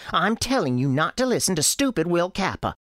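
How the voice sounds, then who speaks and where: A performer voicing a bossy, sharp-tongued girl, a protective sister who scolds and corrects, in a radio story. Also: mid-range voice, very animated, fairly quick, no room sound, studio quality, voice pushed up. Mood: stern.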